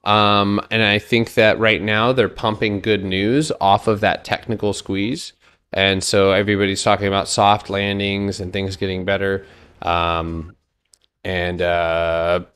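A man's voice: deep voice, fairly bright tone, clean tone. Casual thirty-year-old man reading charts live. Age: 30s. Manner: loose, joking with chat, many fillers. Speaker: stock trading streamer.

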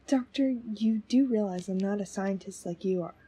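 A woman acts out a young adventurer's companion in an audio drama. She is frank and doubtful, with a very breathy, husky and mellow voice. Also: medium pitch, moderate pace, lightly acted. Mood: doubtful, casual.

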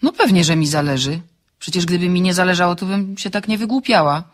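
An actress playing an insistent, flippant woman in a comic radio drama, brisk and impatient as she pushes. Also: lowish voice, lightly acted. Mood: breezy, matter-of-fact. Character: insistent, flippant woman pressing a friend for a favour.

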